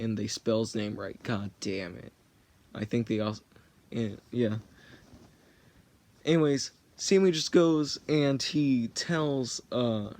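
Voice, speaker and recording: A teenage boy rambling through a story in a casual, jokey way, often stopping to correct himself. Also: mid-range voice. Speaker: vlogger.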